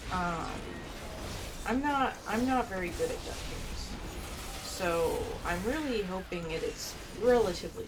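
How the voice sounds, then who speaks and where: Low-voiced woman rambling through gameplay. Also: background noise. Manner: rambling, thinking aloud. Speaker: gaming streamer. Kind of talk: casual gameplay commentary. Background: video game music.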